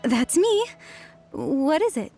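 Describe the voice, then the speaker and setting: A woman's voice: high voice, big pitch swings, takes it slowly, mellow, soft background music, exaggerated voice. A woman voicing a proud, defensive young female researcher in a video game, with a huffy, dramatic delivery. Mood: defensive, bitter. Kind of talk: animated video game voice acting.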